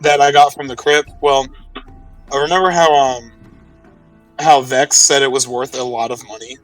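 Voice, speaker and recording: A man's voice: mid-range voice, fairly even intonation, normal speed, faint music behind. Casual man, slightly distant from the mic. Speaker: tabletop game player. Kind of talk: casual in-game chat and recap.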